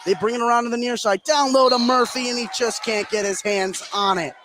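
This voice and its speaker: A man's voice: extremely high pitch, fairly quick. A man doing live radio play-by-play of a basketball game, following the action continuously and lifting his voice on big plays. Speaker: play-by-play sports commentator. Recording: noisy live gym broadcast.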